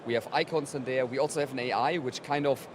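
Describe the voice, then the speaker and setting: A male company representative with a mid-pitched voice explains his product in a conversational interview style. Loud exhibition floor noise runs behind him. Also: quick pace. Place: busy exhibition hall.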